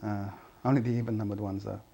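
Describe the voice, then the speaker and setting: An actor playing an uneasy, plain-spoken building cleaner, a man giving halting, understated testimony in a crime drama. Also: fairly low pitch, flat delivery, vocal fry, mellow, husky. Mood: matter-of-fact. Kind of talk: acted police interview testimony.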